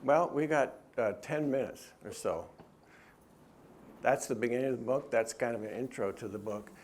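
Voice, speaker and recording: A man's voice: mid-range voice, normal speed, slight background noise. A middle-aged man, the author, gives an earnest talk at a church and answers questions, often stopping and restarting. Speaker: author and speaker.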